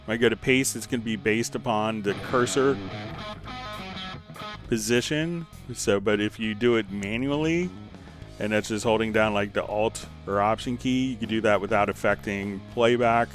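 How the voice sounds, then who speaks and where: A man hosting a live software tutorial in an informal, conversational way, thinking aloud as he demonstrates. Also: fairly low pitch, normal speed, soft background music. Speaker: livestream host and software instructor.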